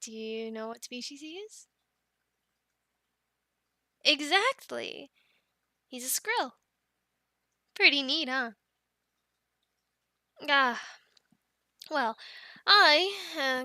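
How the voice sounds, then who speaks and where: A woman's voice: high-pitched, animated, slow, pauses often, bright, forceful tone, no echo, studio quality, heavily acted. A woman playing a cheerful young Viking dragon keeper in an ASMR roleplay, chatting affectionately as she coaxes and explains. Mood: friendly, welcoming. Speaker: amateur audio roleplay performer. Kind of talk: ASMR roleplay acting, speaking to the listener.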